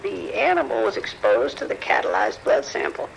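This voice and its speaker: Anxious male researcher, acted, very creaky high voice that swings widely, formal and hesitant, radio drama phone report. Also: huge pitch swings, moderate pace, a little hiss or hum, heavily acted, low-quality recording. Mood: anxious, apologetic. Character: apologetic, worried research scientist reporting trouble, male. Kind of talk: audio drama phone dialogue.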